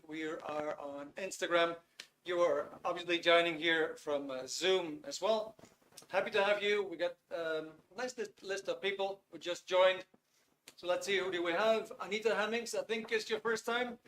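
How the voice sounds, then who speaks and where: A man's voice: high-pitched, a bit bright, slight background noise, poor audio. A man in his fifties with a Dutch accent, hosting a live online webinar in an upbeat way and greeting viewers by name. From Netherlands. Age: 50s. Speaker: webinar host.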